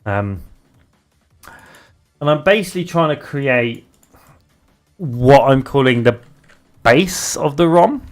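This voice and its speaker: A young British man streaming live, chatting casually and haltingly as he reads out viewer messages. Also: medium pitch, lively intonation, soft background music. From Britain. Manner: rambling, halting, restarts often while reading viewer chat aloud. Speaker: live streamer and game developer.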